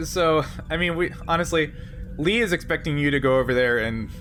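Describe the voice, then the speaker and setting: Man running a game over clear background noise. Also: fairly high pitch, fairly quick, a bit bright. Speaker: game master. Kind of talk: improvised tabletop game narration and chat.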